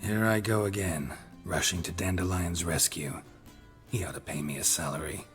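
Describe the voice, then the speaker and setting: Casting: male actor as a gruff monster hunter for a fantasy game, very low, dry and terse, weary, music underneath. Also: normal speed, lightly acted. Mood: resolute, wry. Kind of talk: video game cutscene acting.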